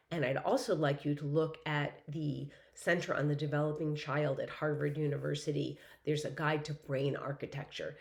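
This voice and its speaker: A woman in her fifties, hoarse from laryngitis, giving a recorded course welcome lecture as a college instructor, with a conversational delivery full of ums and restarts. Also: sits low, darkish tone.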